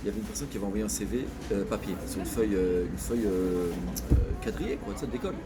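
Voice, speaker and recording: A man's voice: fairly low pitch, animated, fast. A man who runs a company talks casually about hiring, emphatic and repeating points for stress. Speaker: employer. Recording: noisy background.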